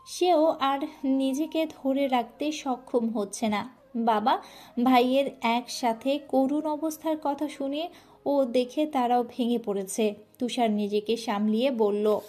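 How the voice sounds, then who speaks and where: A woman's voice: high-pitched, takes it slowly, warm tone. A woman narrating a romantic family story in an emotional, earnest style.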